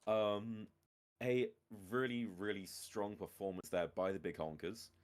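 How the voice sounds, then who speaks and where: A man hosting an esports tournament chats with a player after the match, joking at his own expense and keeping things light. His voice sits a little low and easygoing. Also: a little slow, clear, resonant tone. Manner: chatty, self-deprecating and joking. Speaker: esports caster and interviewer. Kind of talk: casual esports interview.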